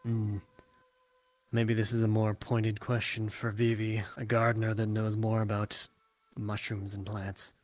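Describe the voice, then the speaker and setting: A man's voice: lowish voice, completely flat delivery, a little slow, dry recording, lightly acted. A man playing an inquisitive, practical townsman in a fantasy roleplay, politely skeptical and full of questions. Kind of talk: in-character virtual reality roleplay.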